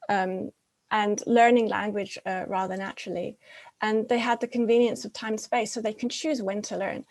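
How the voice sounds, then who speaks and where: A woman speaking at a conference, her voice at an ordinary middle pitch. She explains things step by step and often stops to search for a word or start a phrase again. Her first language is English. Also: darkish tone. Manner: frequent fillers and restarts, explanatory. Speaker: conference presenter. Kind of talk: academic conference presentation.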